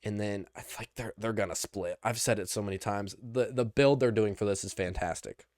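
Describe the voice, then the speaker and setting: A young man hosting a podcast, recapping wrestling in casual chat, rambling and excitable, with lots of restarts. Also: fairly low pitch, a little fast, no room sound, clean studio sound. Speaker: podcast host.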